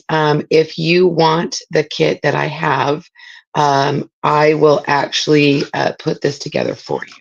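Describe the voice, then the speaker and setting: Woman, raspy cold voice, gravelly, chatty craft livestream host, muffled lo-fi sound. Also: sits low. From Southern California. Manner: chatty, greets viewers by name, many fillers. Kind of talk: casual live craft demo chat. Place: home craft room.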